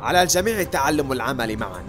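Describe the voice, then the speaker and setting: Man acting a brisk, firm military commander. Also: medium pitch, big pitch swings, music in the background, lightly acted. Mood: composed, firm. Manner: brisk, authoritative. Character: composed, firm male commander of an elite unit. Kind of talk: dubbed animation acting.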